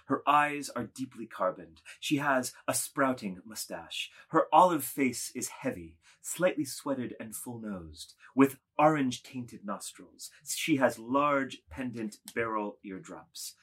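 A man narrating a literary drama, reading scripted stage directions in a deliberate, dramatic way. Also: medium pitch, animated, a bit bright, slightly rough.

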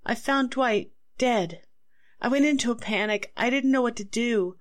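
A woman acts a distraught wife under suspicion in a 1940s radio melodrama. Her voice sits fairly high. She pleads anxiously and haltingly, desperate to be believed. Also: lightly acted. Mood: anxious, pleading.

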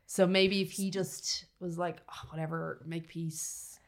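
A woman's voice: lowish voice, level tone, unhurried. A woman co-hosting a podcast in casual chat, wry and opinionated, with plenty of swearing. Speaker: podcast co-host.

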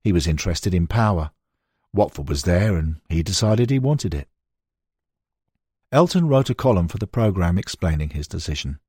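A man with a deep, slightly husky voice narrates a factual book. He reads in a measured storytelling manner, and the recording is close and dry. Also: expressive, a little mellow, dry recording. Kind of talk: scripted narration of a football history.

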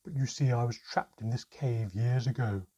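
A man voicing a tiny, proud female mermaid with a deep, strong voice and a sarcastic, sneering, bitter manner. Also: moderate pace, warm tone, breathy edge, highs cut off, close to an everyday voice.

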